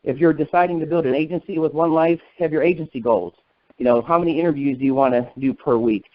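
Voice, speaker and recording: Tinny phone-line American man, slightly high-pitched. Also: dry recording. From northern United States. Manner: fast talker, folksy. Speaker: sales agency leader and trainer. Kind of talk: motivational sales training talk. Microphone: telephone. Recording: narrow, tinny conference-call phone line.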